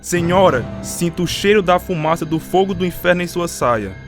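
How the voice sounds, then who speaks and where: An actor playing a solemn, firm pastor in a Brazilian Portuguese radio drama, with a preaching manner. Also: male voice, high-pitched, lively intonation, normal speed, over music. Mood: stern. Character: solemn, firm pastor defending a young couple.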